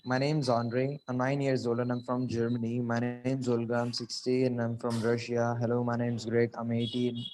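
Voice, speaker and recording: A man's voice: medium pitch, completely flat delivery, moderate pace, few pauses, darkish tone, soft background music, poor audio. A student, a man, reading a short text aloud.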